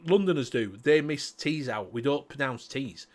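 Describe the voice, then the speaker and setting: A man's voice: mid-range voice, expressive, normal speed. A man with a Leeds Yorkshire accent hosting a casual livestream chat, rambling and swearing and repeating himself. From Leeds, England. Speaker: host.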